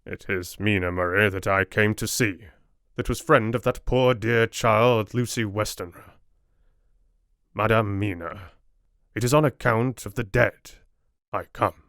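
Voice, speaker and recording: A man's voice: lowish voice, unhurried, a bit bright, no echo, studio quality. A man voicing a courtly, earnest older foreign doctor with formal, quaint phrasing, as in an audiobook. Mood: grave, courteous. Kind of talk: audiobook character dialogue.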